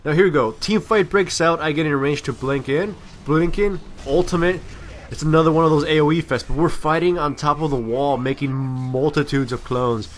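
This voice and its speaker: A man's voice: fairly high pitch, fairly even intonation, normal speed, slight background noise. A man giving casual, amateur video game commentary in a rambling, self-deprecating way.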